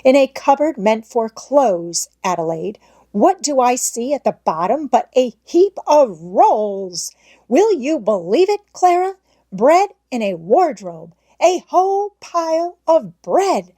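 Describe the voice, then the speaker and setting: A woman's voice: high voice, sing-song, takes it slowly, warm tone, voice pushed up, exaggerated voice. A woman voicing a strict, haughty lady housekeeper in a storybook, scolding with indignant, imperious delivery. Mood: horrified indignation.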